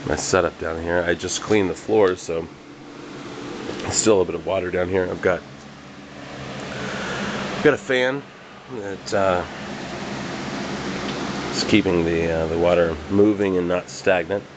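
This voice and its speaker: A man's voice: low voice, measured pace, long pauses. A man giving a casual, rambling walkthrough of his home basement winemaking setup, filmed on a handheld camera. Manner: relaxed, rambling, hesitant with fillers. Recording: noisy camcorder audio with fan hum. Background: fan and air conditioning hum.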